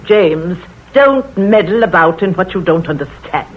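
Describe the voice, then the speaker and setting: An actress playing a nosy, know-it-all village spinster who talks brisk and bossy, in a 1940s radio play. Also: female voice, fairly low pitch, expressive, slightly rough. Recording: tinny old radio broadcast.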